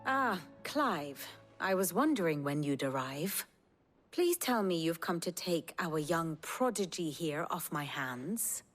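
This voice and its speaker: A woman's voice: low-pitched, lively intonation, slow pace, faint music behind, exaggerated voice. An older woman playing an exacting, wry scholar in a fantasy game, speaking in an eloquent, dry lecturing manner. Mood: wry, exasperated.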